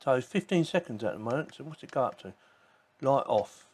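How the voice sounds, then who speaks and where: A man's voice: mid-range voice, expressive, brisk, darkish tone, husky. A man giving a casual, informal product demonstration, chatting and thinking aloud as he goes. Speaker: tech product reviewer. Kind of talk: casual unboxing and demo commentary.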